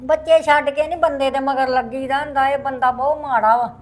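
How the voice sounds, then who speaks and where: A woman's voice: high voice, fairly even intonation, brisk, faint music behind, natural voice. A woman playing an elderly mother in a family drama, plaintive and imploring, speaking. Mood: scolding. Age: older. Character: pleading, scolding elderly mother of a wayward son.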